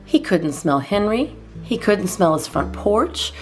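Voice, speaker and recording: Woman reading a storybook, very lively intonation. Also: medium pitch, unhurried, faint music behind. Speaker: storytime host reading a children's book aloud. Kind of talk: storybook narration for children.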